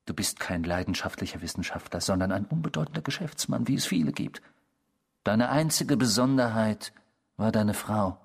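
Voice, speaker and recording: An actor in a radio drama playing a sardonic, tormenting inner voice, with a husky, mocking and theatrical delivery. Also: male voice, lowish voice, animated, normal speed, exaggerated voice. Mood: scornful, amused. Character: sardonic tormenting inner voice claiming to be a man's destructive self.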